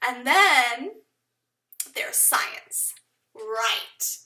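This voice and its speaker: A woman's voice: fairly high pitch, expressive, drawn-out words, sharp tone, echoey room, distant mic. A young woman from Portugal rambling self-consciously to the camera in a casual vlog, laughing often as she thinks aloud. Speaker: vlogger.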